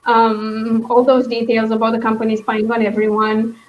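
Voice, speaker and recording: A woman in a casual book discussion, thinking aloud in a hesitant, halting way as she hosts. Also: a little high, monotone, highs cut off, slight background noise. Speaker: book club host.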